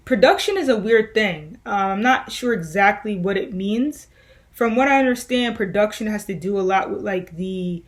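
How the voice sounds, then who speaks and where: A young woman musician answering viewer questions in a loose, rambling way, with many fillers and restarts. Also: mid-range voice. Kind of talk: casual Q&A vlog.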